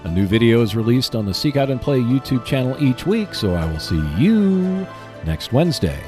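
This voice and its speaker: A man hosting a hobby podcast, reading scripted announcements in a measured, clearly enunciated way. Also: medium pitch, sing-song, over music. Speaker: podcast host.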